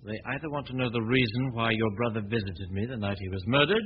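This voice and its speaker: An actor playing a suave, genial gentleman detective who talks in a relaxed, urbane way, in 1940s radio acting. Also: fairly low pitch, fast, natural voice. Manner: relaxed, urbane, easy chuckles. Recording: muffled hissy 1940 radio recording.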